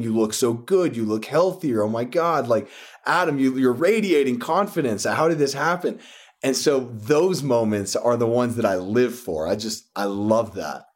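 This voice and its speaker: A man, a fitness coach, telling stories earnestly in a casual interview, often restarting his sentences. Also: mid-range voice. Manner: earnest, rambling, frequent restarts.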